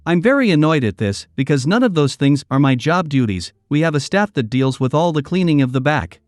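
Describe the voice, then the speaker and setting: A man reading online posts aloud as story narration, in a steady, even delivery. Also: on the high side, a little fast, no room sound, clean studio sound.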